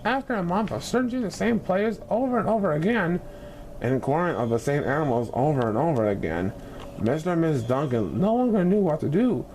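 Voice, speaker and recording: A man reading a children's storybook expressively, with dramatic, deliberate phrasing. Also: a little high, darkish tone, a little hiss or hum, low-quality recording. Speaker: story reader.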